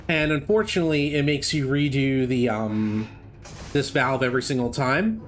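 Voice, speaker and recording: A man giving casual live speedrun commentary, haltingly thinking aloud as he plays. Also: mid-range voice, a bit bright, a little hiss or hum. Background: game music.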